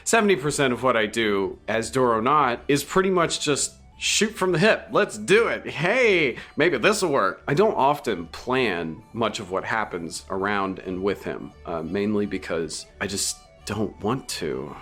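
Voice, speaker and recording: A man casually answering fan questions in an animated, off-the-cuff way as a podcast player. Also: medium pitch, a little slow, hardly any pauses, faint music behind. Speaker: podcast cast member, player.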